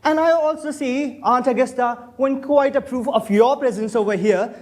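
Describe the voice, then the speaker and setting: Idle, pompous young gentleman for a stage comedy of manners, played by a man; high-pitched, witty. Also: moderate pace. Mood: witty, bossy. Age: young adult. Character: idle, witty young Victorian gentleman, flippant and self-assured. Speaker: student actor in a stage play.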